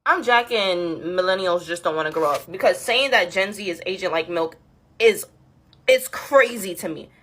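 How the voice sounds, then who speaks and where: A woman's voice: on the low side, moderate pace, a bit bright, a little hiss or hum. A young woman on a casual rant, defensive and exasperated as she argues back.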